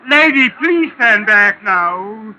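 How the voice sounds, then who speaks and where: Flustered fusspot manager, high-pitched, fretful, indignant, old radio play, muffled recording. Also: male voice, animated, takes it slowly, pushed and bright, slight background noise, heavily acted. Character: fussy, flustered male department store manager, anxious. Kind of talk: 1940s radio drama acting.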